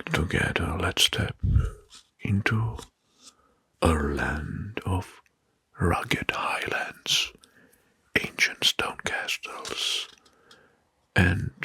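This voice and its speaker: A man narrating history as an ASMR host in a breathy whisper, slowly and deliberately, repeating words, close to the mic. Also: deep voice, sharp tone. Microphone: close microphone.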